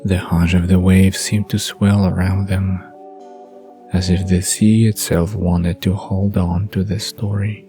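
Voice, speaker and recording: A man narrating a scripted bedtime audio drama in a husky, gravelly, hushed storytelling voice. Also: low-pitched, little pitch movement, measured pace, faint music behind.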